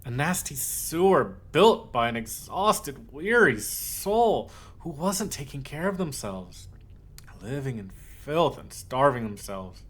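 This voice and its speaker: A man plays a soft, possessive male yandere in an intimate audio roleplay, with a mid-pitched voice. He dotes on his listener with earnest devotion, stumbling slightly over his words as his obsession shows through the tenderness. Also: big pitch swings, full character voice. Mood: indignant concern. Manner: tender, doting, halting, earnest.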